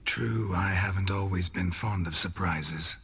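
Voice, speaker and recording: An actor with an American accent playing a gruff, laconic monster hunter in a video game, dry-witted and affectionate with his lover, in a gravelly voice. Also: unhurried, a bit bright, full character voice. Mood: warm, understated. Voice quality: gravelly, low. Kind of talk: video game cutscene acting.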